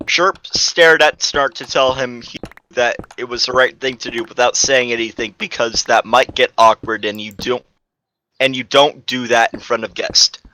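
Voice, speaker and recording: A young man reading a fan fiction aloud to friends on a stream, halting and repeating words as he goes. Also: mid-range voice, fairly even intonation, bright, forceful tone, poor audio.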